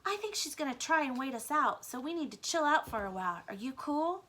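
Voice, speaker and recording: A woman's voice: high voice, big pitch swings, brisk, pushed and bright, pitched above the performer's own voice, heavily acted. A woman voicing a laid-back young male mouse from the city, talking in casual slacker slang for a children's story. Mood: reassuring. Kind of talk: children's book read-aloud.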